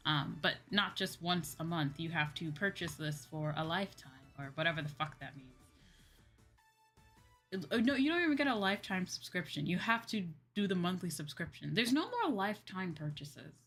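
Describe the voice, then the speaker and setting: A woman's voice: fairly low pitch, sing-song, moderate pace, a bit bright, soft background music. A woman livestreamer chatting casually and ranting in a dramatic, exasperated way, repeating phrases for emphasis. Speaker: livestreaming VTuber host.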